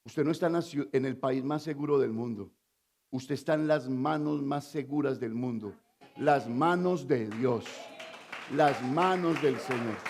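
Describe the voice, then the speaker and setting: A man from Colombia with a Colombian Spanish accent, preaching a sermon as pastor, quizzing the congregation and swinging between loud emphatic lines and low confiding asides. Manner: call-and-response preaching, asks the congregation to fill in words.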